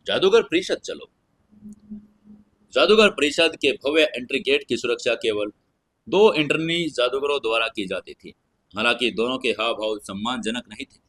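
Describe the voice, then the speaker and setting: A man's voice: medium pitch, pushed and bright, poor audio. A man narrating a fantasy audio story from a script.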